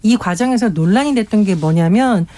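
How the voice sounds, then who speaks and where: A woman's voice: mid-range voice, expressive, fairly quick, mellow. A woman professor speaking as a radio discussion panelist, earnest and explanatory. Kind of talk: radio current-affairs discussion.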